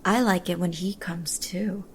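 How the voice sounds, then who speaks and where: A woman's voice: fairly low pitch, fairly even intonation, unhurried, breathy edge. A woman acting as a coy, flirtatious friend of an ice fisherman in a comic audio drama, with suggestive delivery.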